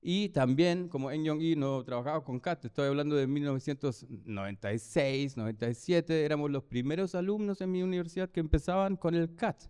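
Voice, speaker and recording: A German-accented man in his fifties gives a lecture in a hall, pausing and restarting often. His voice is mellow and smooth. Long reverb hangs behind him. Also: fairly high pitch. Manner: hesitant, many fillers and restarts. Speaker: architect giving a lecture. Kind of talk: lecture presentation.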